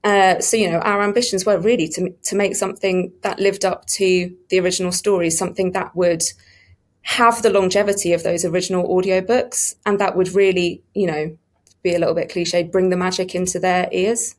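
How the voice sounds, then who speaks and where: A woman's voice: on the low side, fairly even intonation, fairly quick. A woman giving conversational, enthusiastic and emphatic answers in an interview. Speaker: audiobook publishing interviewee.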